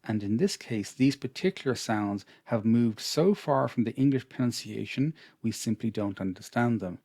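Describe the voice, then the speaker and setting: A man with an Irish accent, an English teacher explaining a lesson over slides in a measured, patient manner. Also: mid-range voice, expressive, darkish tone, no room sound, clean studio sound. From Ireland.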